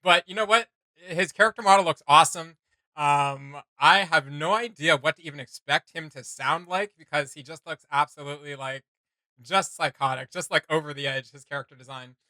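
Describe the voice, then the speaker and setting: A man hosts a reaction video in a loose, conversational chat. His voice sits a little high and has a crisp, forward edge. The sound is dry, with hardly any room in it. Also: moderate pace, sharp tone, dry recording.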